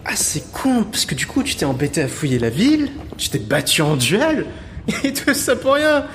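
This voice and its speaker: A cheeky, irreverent thief played by a man with a lively, swinging intonation. He talks in slangy audio-drama banter, always teasing. The voice rings in a reverberant space over faint ambient noise. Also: high-pitched, normal speed, full character voice. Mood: mocking, playful. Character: cheeky male thief, glib, mocking, irreverent.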